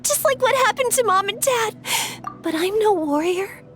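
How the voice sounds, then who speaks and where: Anxious, very high-voiced warrior girl. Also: animated, normal speed, fairly bright tone, faint music behind. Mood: fearful despair. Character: anxious, self-doubting young warrior girl, fiercely protective sister. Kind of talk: English video game voice acting.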